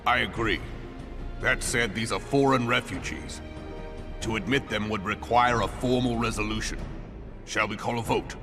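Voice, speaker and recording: Man playing a stern council leader, lowish, deliberate, loud game music. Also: lightly acted. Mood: grave.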